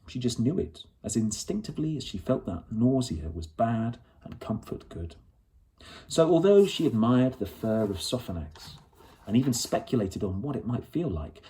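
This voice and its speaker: A man's voice: mid-range voice, dark tone, low-quality recording. A man reading a storybook aloud as narrator. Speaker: audiobook reader.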